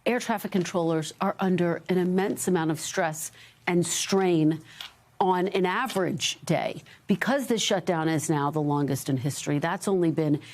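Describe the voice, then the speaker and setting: An American woman anchoring a news interview, asking measured, formal questions. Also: fairly low pitch, darkish tone.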